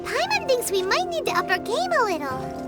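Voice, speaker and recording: A woman's voice: big pitch swings, brisk. A woman voicing an eager, tiny female fairy companion who talks about herself by name. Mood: curious. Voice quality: very high, squeaky, bouncy. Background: game music.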